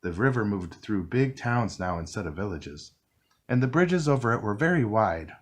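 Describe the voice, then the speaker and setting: Low-voiced man reading a storybook expressively. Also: slightly rough. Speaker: storybook reader. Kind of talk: children's read-along narration.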